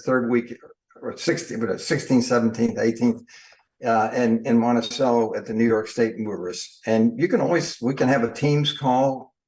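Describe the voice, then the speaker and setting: An older man with an ordinary mid-range voice tells stories as an interview guest. His delivery is lively and emphatic as he drives his points home. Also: mid-range voice, low-quality recording. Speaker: guest, industry trainer. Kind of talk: storytelling in an interview.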